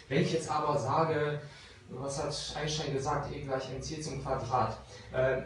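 A man in his thirties, a lawyer, explaining things informally to a pub audience with examples, speaking into a handheld microphone. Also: mid-range voice. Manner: explaining with examples, lively and direct. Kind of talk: informal talk answering audience questions. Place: small crowded pub room. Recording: very echoey room from far off, noisy.

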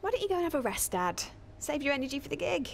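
A caring young British woman, chats in a high voice as a daughter in a radio soap. Some ambient noise sits behind her. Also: very animated, quick pace, vocal fry, full character voice. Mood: fussing, bright. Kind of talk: naturalistic radio soap acting.

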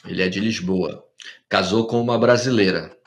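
A man's voice: sits low, moderate pace. A man hosting a podcast, who tells anecdotes in casual conversation and keeps checking in with short tag questions. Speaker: podcast host. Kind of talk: casual interview, telling anecdotes.

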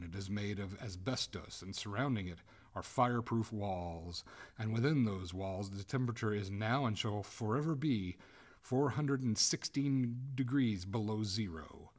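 A man voicing a courteous but coolly merciless young gentleman in a storybook, formal and firm in manner. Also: lowish voice, moderate pace, natural voice. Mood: cold, gloating. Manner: formal, suavely polite, firm.

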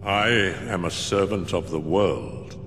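An actor playing a cold, scheming, solemn robed mastermind in a video game, speaking gravely and deliberately with disdain. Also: male voice, low voice, long reverb tail, a little hiss or hum. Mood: coldly disdainful. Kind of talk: dramatic video game voice acting.

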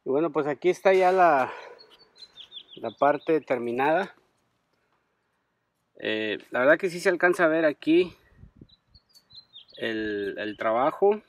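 A man, chatting casually as he does a repair, relaxed and self-deprecating, with halting restarts. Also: a little high. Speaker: trucker vlogger. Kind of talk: casual DIY commentary. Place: outdoors in a driveway by a garage.

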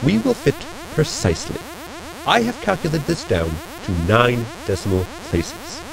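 A gravelly robot crewman, played by a man from a sci-fi comedy audio drama. His pitch is fairly high and swings widely, yet his wording stays formal, exact and unflappable. Electronic music plays behind him. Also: wildly swooping pitch, moderate pace, full character voice, low-quality recording. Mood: calm, exacting.

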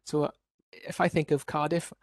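A man's voice: a little high, level tone, normal speed, no room sound, clean studio sound. A man from Wales giving an online workshop presentation as a researcher, explaining steadily with occasional restarts over a video call.

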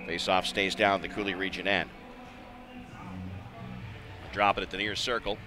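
An American man doing live radio-style play-by-play hockey commentary, measured in routine play and excitable on big plays. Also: low-pitched, over music. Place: indoor ice arena. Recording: noisy live rink broadcast.

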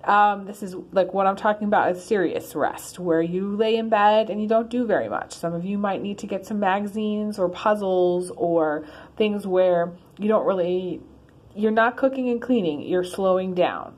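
A woman's voice: medium pitch, normal speed, a little mellow. A woman giving a casual one-card tarot reading to her followers, rambling with many ums and restarts. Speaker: tarot reader. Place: in her car.

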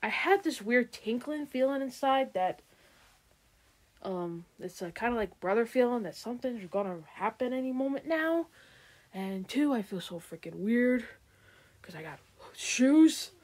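Jumpy young pony prince, lady doing him, stammery, high and bouncy. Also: measured pace. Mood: bewildered. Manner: excitable, stammering.

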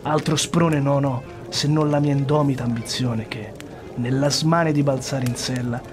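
An actor playing a tormented, ambitious noble warrior in an Italian radio drama, solemn and theatrical. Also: male voice, mid-range voice, steady tone, moderate pace, music in the background, lightly acted. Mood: brooding, conflicted.

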